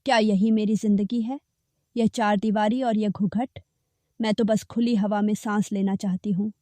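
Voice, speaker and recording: A woman's voice: mid-range voice, steady tone, normal speed, warm tone, dry recording, close to an everyday voice. A woman voicing an oppressed young wife in a cartoon story, pleading and sorrowful. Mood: pleading sad. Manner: pleading, sad.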